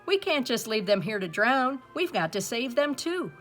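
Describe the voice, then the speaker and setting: A woman's voice: on the high side, big pitch swings, moderate pace, a bit bright, soft background music, pitched above the performer's own voice. A woman voicing an earnest, caring male ship's captain in a storybook, pleading with urgency. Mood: worried, pleading.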